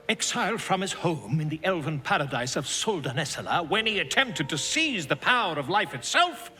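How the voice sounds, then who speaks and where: An actor playing a flamboyant, self-important bard in a video game, speaking in a florid, theatrical and chummy manner. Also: male voice, fairly high pitch, bright, forceful tone, exaggerated voice. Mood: ominous, dramatic. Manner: theatrical, florid, grandiloquent storytelling. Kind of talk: video game character acting.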